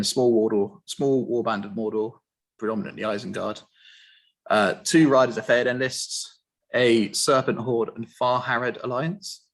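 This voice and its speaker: A man hosting an online interview, explaining a tournament in a relaxed, filler-heavy way. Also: lowish voice. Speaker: host.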